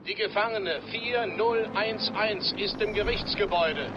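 A high clipped chopper pilot, muffled radio, loud rotor noise. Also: male voice, measured pace. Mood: urgent. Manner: clipped, military. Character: male helicopter pilot on radio.

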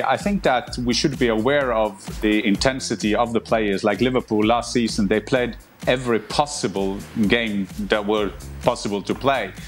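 A man talking in a measured way as a football pundit in a casual panel debate. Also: medium pitch.

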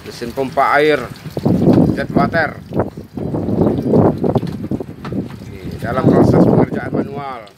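A man's voice: medium pitch, takes it slowly, pauses often. A man, narrating casually and upbeat as he shows off engine detailing work in an outdoor workshop yard. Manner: chatty, upbeat, showing off the work. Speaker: car detailing vlogger. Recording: loud engine and water-jet noise.

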